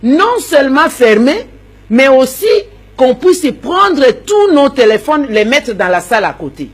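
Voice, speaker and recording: Husky-voiced politician, a woman, forceful and repetitive, stumbles on words. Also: high voice, sing-song, a little slow. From Democratic Republic of the Congo. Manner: emphatic, repeats words, stammers while searching. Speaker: opposition politician. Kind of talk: interview answers.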